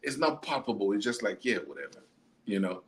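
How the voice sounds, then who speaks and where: A man co-hosting a casual football chat, animated and laughing, often mimicking people in quotes. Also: lowish voice, fairly quick. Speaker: podcast co-host.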